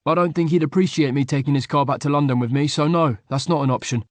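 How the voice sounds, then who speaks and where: A man voicing a tense, prickly young man who talks in a clipped, impatient way, raised above his narrating pitch and a bit louder. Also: quick pace, dry recording, natural voice.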